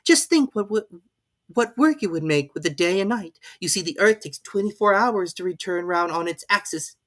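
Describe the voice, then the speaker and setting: A curious, well-mannered young girl from a storybook, acted by a woman. Her voice sits high and swings about a lot. She sounds earnest, expressive and quick to get exasperated. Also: lightly acted. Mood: showing off.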